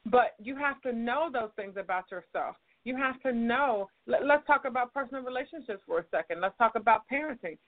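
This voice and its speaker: A woman's voice: a little high, expressive, a little fast, few pauses, no echo. A woman hosting an advice talk show, a counselor who speaks frankly and teaches through examples. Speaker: host and counselor. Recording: phone-like narrow audio.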